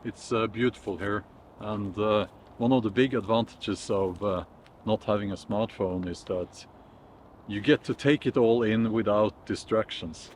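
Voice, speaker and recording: Norwegian man, middle-aged, lowish voice, slow reflective vlog delivery with fillers, recorded in the woods. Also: a little mellow, slight background noise. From Norway. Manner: unhurried, thoughtful, with many fillers and restarts. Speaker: vlogger. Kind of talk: casual talk to camera. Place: woods outdoors.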